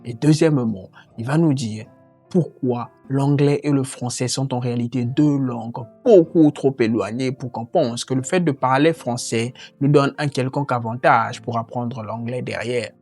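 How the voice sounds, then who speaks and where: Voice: medium pitch, normal speed, a little mellow, soft background music. A voice narrating a French-language lesson on learning English, earnest and explanatory. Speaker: language teacher.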